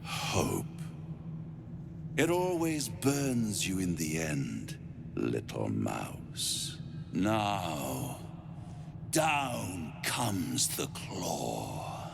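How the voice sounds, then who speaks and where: Game villain: imperious devil lord, low and gravelly, suave, grandly menacing. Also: male voice, wildly swooping pitch, background noise, heavily acted. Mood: cold fury. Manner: grandiloquent, menacing, drawn-out delivery. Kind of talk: dramatic video game villain acting.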